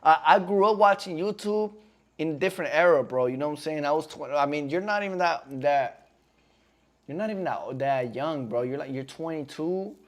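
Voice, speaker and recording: A man's voice: on the high side, moderate pace. A man in his twenties chatting casually on a live stream in slangy talk, often calling viewers "bro". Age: 20s.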